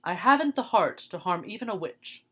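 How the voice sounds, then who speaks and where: A woman voicing a kind, gentle male tin woodman with a loyal manner. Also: medium pitch, lively intonation, normal speed, breathy edge.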